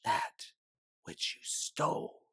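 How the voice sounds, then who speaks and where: A man's voice: slow, bright, forceful tone, no room sound, studio quality. A man voicing a menacing, taunting male phantom in a whispery voice for an audiobook story. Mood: sinister, hushed.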